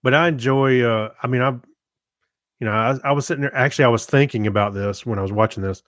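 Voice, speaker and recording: A man's voice: medium pitch, fairly bright tone, no echo. Hesitant man in casual podcast chat, dry sound. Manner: hesitant, pausing to search for words. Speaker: podcast co-host reviewing TV shows.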